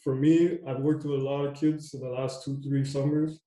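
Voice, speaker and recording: A man's voice: mid-range voice, flat delivery, normal speed, warm tone, roomy sound, poor audio. A young man coaching youth basketball, talking casually in a loose, repetitive way. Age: young adult. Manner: loose, repetitive, says "you know what I mean" a lot. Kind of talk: casual podcast chat.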